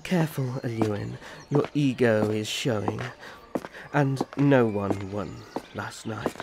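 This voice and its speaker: A man playing a sensible, courteous elf prince in a fantasy roleplay, formal, measured and chiding. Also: lowish voice, creaky, heavy background noise. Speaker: voice actor. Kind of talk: ASMR fantasy audio roleplay.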